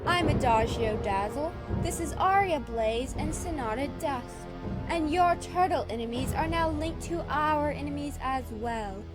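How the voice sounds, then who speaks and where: Casting: woman as persuasive young villainess, high register, formal delivery, clear music bed. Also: lively intonation, measured pace. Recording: music behind the voice.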